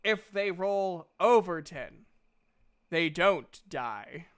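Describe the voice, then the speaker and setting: Man, game master, bright clear voice, informal, tabletop roleplaying session. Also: high voice, animated, very slow.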